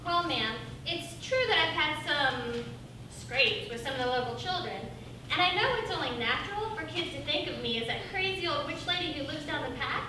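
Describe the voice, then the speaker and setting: A woman's voice: sits high, expressive, normal speed. A woman playing a self-pitying, falsely sweet old witch with a melodramatic, genteel manner in a theatrical comedy. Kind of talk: theatrical stage comedy acting. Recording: distant, very echoey, noisy stage recording.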